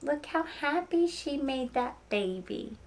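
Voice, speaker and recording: A woman teacher reading a picture book aloud to children, with animated emphasis and chatty explaining asides. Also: sits high, slow, a little hiss or hum.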